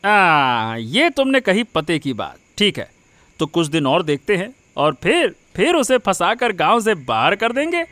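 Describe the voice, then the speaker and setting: A man voicing a smug, scheming village villain in an animated moral story, boasting and gloating. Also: sits high, unhurried, dry recording, heavily acted.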